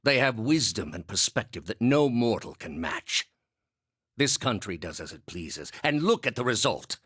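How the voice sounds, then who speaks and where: A man playing an ancient, zealous high priest villain in a fantasy video game, grave, measured and condescending in a theatrical, oratorical way. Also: very animated, fairly bright tone, breathy edge, dry recording, clean studio sound, exaggerated voice. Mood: solemn conviction.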